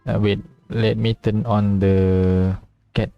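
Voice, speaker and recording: A man's voice: fairly low pitch, flat delivery, takes it slowly, vocal fry, clear, resonant tone, no room sound, dull audio, faint music behind. A man from Malaysia chatting casually as a beginner game livestreamer.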